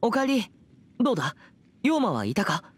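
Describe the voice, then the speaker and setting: Earnest teenage boy exorcist, lively intonation. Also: high-pitched, husky, heavily acted. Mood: surprised, questioning. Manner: earnest, formal, measured. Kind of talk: scripted Japanese game voice acting.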